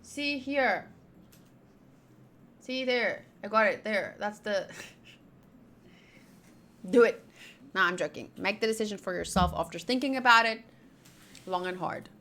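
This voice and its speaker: A woman's voice: medium pitch, slow pace, long pauses, a bit bright. A young woman streaming a game playthrough, casually reading dialogue aloud and drifting into playful, rambling asides.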